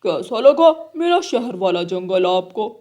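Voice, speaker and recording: A woman's voice: medium pitch, big pitch swings, measured pace, mellow. A woman voicing a cheerful, boastful male city crow in a children's cartoon, with eager, exclamatory delivery. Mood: friendly. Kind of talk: Hindi children's cartoon story.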